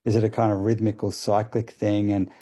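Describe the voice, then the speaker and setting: A man explaining ideas as a radio guest, thoughtful and hesitant, with many pauses and fillers. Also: on the low side, little pitch movement, dark tone, husky. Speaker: guest expert.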